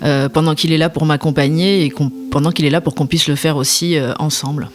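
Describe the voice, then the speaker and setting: A woman, an author guest, speaking in a halting, reflective way with frequent fillers. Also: low voice, steady tone, soft background music. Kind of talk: reflective interview answer.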